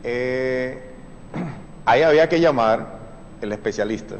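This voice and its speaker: Gravelly surgeon explaining. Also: male voice, mid-range voice, takes it slowly, long reverb tail, slight background noise, rough recording. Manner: explains patiently with frequent fillers and throat clearing. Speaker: surgeon guest. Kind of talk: explanatory TV interview.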